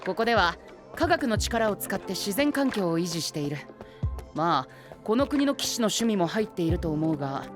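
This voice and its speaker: A man playing a flamboyant, pompous young gentleman knight in an anime audio drama, dropping English exclamations theatrically. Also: high voice, big pitch swings, normal speed, over music. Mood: proud, grandiose.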